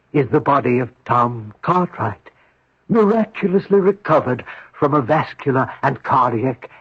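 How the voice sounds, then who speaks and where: An actor playing a supernatural giant servant, formal, measured and deferential, in a 1970s radio drama. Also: male voice, a little high, slightly rough, heavily acted. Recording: roomy, muffled 1970s radio broadcast.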